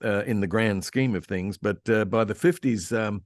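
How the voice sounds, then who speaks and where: A man giving detailed, halting but enthusiastic anecdotes as a guest historian in a panel discussion. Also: fairly low pitch, no echo, clean studio sound. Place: online convention video call.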